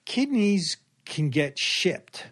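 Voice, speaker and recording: An older man, a surgeon, explaining in casual chat, measured and often repeating words as he goes. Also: high-pitched, big pitch swings, fairly bright tone. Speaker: surgeon guest.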